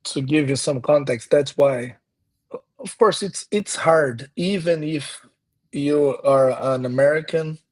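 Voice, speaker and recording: A man's voice: a little high, takes it slowly, darkish tone. A Brazilian man in his thirties with a Brazilian accent, Portuguese his first language, answering interview questions as a guest pastor, earnest and reflective, often restarting his sentences. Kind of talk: conversational interview.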